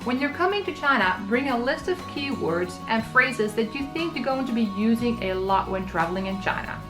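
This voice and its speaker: A woman from the Netherlands in her thirties giving travel tips in a scripted vlog, explaining clearly and practically. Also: fairly high pitch, expressive, moderate pace, music in the background. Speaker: travel vlogger.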